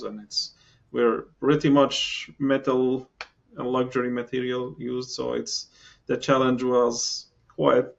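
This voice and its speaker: A man's voice: medium pitch, creaky, husky, dull audio. A middle-aged man from Palestine, a guest in a podcast interview, talking with many fillers and restarts. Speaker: engineering guest.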